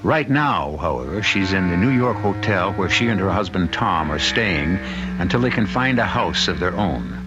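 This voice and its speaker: A man hosting and narrating a mystery radio drama in a measured, dramatic way. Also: low-pitched, animated. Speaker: host and narrator. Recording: dull lo-fi radio, music behind.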